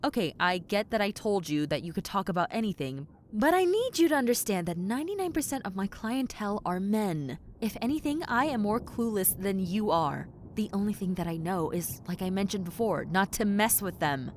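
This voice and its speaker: A woman's voice: medium pitch, sing-song, moderate pace, few pauses, a little hiss or hum, lightly acted. A woman playing a centuries-old tomboy succubus in an audio roleplay, wry and flustered but kind, talking casually to the listener. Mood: exasperated.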